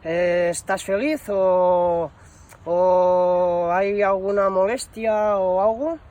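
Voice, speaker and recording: A high-pitched, slow-talking man acting as a bumbling promotions employee. He rambles through a prank phone call with hesitant stammers and casual slang. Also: fairly even intonation, darkish tone. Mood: chatty, hesitant. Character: bumbling shoe shop promotions employee announcing a prize. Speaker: prank caller.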